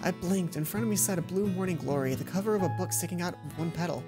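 A woman's voice: lowish voice, quick pace. A young adult woman reading a visual novel aloud as a let's play host, speaking into a headset in a room. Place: indoor room, seated at a computer. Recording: background game music.

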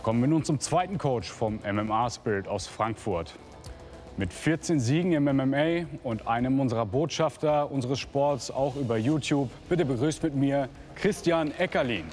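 A man's voice: medium pitch, normal speed, darkish tone. A male announcer with a mid-range voice introducing guests in a formal tone. Faint music sits behind him. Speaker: host.

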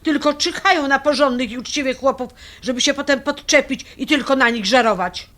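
Woman acting a high-voiced, melodramatic scheming mother. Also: fairly quick, background noise, close to an everyday voice. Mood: scolding, evasive. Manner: wheedling, scolding, theatrical. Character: manipulative, melodramatic mother of a grown son. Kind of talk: audio drama acting.

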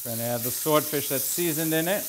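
A man in his forties, a chef instructor, explaining each step in a relaxed way as he cooks in a large teaching kitchen. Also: fairly high pitch, fairly quick, background noise. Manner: relaxed, explanatory, thinking aloud while working. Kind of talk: cooking demonstration. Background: food sizzling in a pan.

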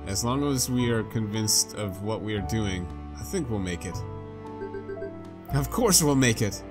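A man's voice: lowish voice, lively intonation, measured pace. A man voicing an earnest young soldier in a visual novel game, sincere and plain. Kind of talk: reading visual novel dialogue aloud. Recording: game music behind.

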